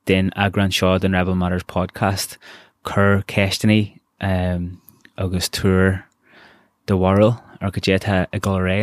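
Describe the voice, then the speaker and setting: A young Irish man hosting a podcast, chatting in a relaxed, rambling way. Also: low-pitched, dry recording, studio quality. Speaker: podcast host. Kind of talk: casual podcast chat.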